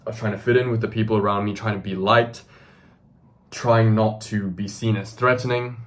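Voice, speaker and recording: A man's voice: fairly low pitch, steady tone, normal speed. A man, earnestly addressing the camera in a self-improvement monologue full of "right?" tags and fillers. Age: 20s. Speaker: self-improvement video host.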